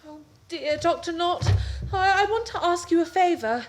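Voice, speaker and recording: An actress playing a flustered, worried young wife who fusses anxiously, in 1930s radio-play style. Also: female voice, very high-pitched, animated, moderate pace.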